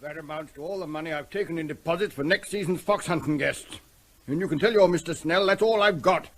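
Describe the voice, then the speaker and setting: An actor playing a defiant, indignant army major in a radio drama. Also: male voice, a little high, fairly quick, slight background noise, close to an everyday voice.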